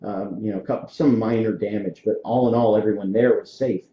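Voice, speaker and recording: A man from Florida in his forties hosts a podcast in an easygoing, chatty way, full of little fillers. His voice sits low, recorded with a dull, lo-fi tone in a very echoey room. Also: fairly even intonation, normal speed. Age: 40s. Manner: friendly, storytelling, frequent fillers. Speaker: podcast host. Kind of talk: casual interview chat.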